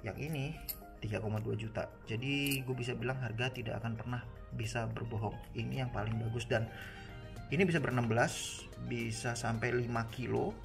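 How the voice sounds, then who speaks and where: A man's voice: mid-range voice, fairly even intonation, brisk, gravelly. A man with a Jakarta Indonesian accent giving an informal, conversational product review, explaining things casually to viewers. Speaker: motorcycle gear reviewer. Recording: music behind the voice. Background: background music.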